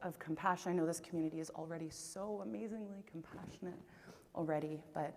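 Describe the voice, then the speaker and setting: A woman's voice: lowish voice, steady tone, fast. A woman hosting on a conference stage, giving friendly announcements with light laughs. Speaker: event host. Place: large conference hall. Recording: long hall reverb.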